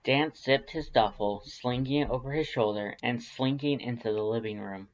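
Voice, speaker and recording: A man's voice: mid-range voice, normal speed, muffled recording. A man reading scripted fan fiction narration as a storyteller.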